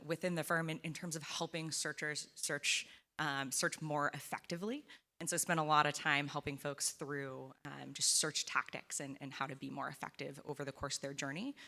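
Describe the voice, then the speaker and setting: Low, gravelly, bright woman. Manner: businesslike, with fillers. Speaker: panelist. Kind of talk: conference panel introduction.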